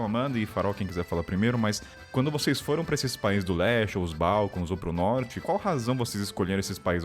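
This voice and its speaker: A man hosting a casual podcast, talking fast and joking at his own expense. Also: lowish voice. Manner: fast, joking, self-mocking banter. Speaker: podcast host.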